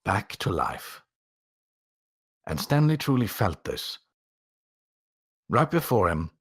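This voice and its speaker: A man reading a story aloud as a theatrical narrator, drawing out words for emphasis and pausing for drama. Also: sits low, slightly rough.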